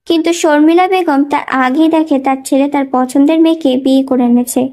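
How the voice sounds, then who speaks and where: Female story narrator, high voice, scripted dramatic storytelling. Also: fairly even intonation, a little fast, darkish tone.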